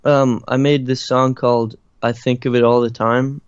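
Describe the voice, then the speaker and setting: A young man from Ireland with a slight Irish accent, answering interview questions in a halting, casual way, full of fillers and restarts. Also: medium pitch, little pitch movement, clean tone, no room sound. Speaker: interviewed musician. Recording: dry, muffled lo-fi recording.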